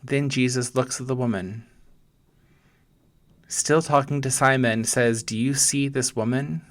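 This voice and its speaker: Slow-paced man leading guided meditation. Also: mid-range voice, steady tone. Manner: gentle, deliberate, pausing between short phrases.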